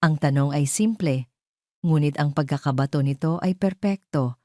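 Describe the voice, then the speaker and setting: A woman's voice: sits low, normal speed, mellow, no room sound, studio quality. A woman narrating a scripted dramatic story as an audio drama narrator.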